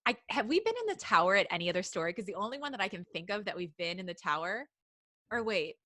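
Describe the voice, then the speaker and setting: A woman with a bright voice and a close, dry sound reads a story aloud like a podcast co-host among friends. She is playful and giggly, happy to wander off into casual banter. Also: mid-range voice, expressive, fast, no room sound. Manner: playful, giggly, digresses from the reading. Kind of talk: casual read-aloud of fan fiction with banter.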